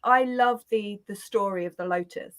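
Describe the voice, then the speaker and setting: A woman's voice: medium pitch, normal speed. A woman guest, a positive psychologist, chatting casually in an interview and laughing often, from her garden shed.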